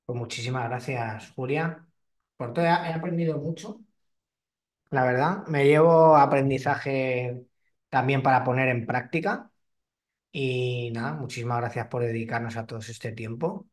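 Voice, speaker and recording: A man hosts a relaxed interview in a middling voice. He stops, restarts and fills gaps as he works toward his point. The sound is roomy, and he sits well back from the microphone. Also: medium pitch, a little slow, recorded from a distance. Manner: hesitant, many restarts and fillers. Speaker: host and fitness trainer. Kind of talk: casual interview.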